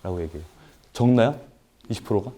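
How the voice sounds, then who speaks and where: A man's voice: fairly low pitch, lively intonation, fast, mellow. A man with a throat cold gives a live seminar lecture in an indoor room with an audience. He talks casually, asks rhetorical questions and uses many "uh" fillers. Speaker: lecturer at a paid business seminar.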